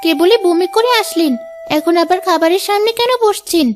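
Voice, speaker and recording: Woman doing worried loyal maid girl in a cartoon, very high voice, earnest, bit of music. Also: moderate pace. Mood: concerned. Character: watchful, protective young housemaid. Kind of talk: Bengali cartoon dialogue.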